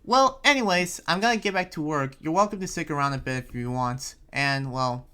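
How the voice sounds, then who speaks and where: A man playing an earnest, preachy but friendly town leader in an anime roleplay, speaking in a halting, informal way. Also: fairly high pitch, bright, forceful tone, smooth. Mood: advising. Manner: halting, rambling, informal advice-giving. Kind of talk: improvised Minecraft anime roleplay. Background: music under the voice.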